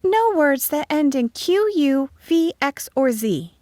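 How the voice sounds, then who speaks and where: Woman acting kindly country schoolteacher, brisk, lilting instructions, high pitch, storybook, dry recording. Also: sing-song, mellow, no echo, exaggerated voice. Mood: encouraging. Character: kindly, brisk young female country schoolteacher addressing pupils.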